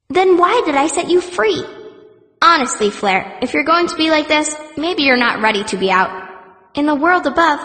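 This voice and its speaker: High-voiced woman narrating a fantasy audiobook. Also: a bit bright, dry recording. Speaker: audiobook narrator, playing the young heroine.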